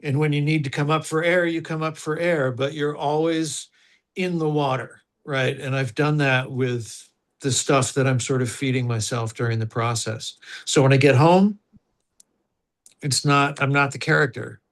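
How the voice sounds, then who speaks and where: A man's voice: medium pitch, steady tone, normal speed. A man, an actor, reflecting on his working method in an interview and searching for the right words as he goes. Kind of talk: interview answers.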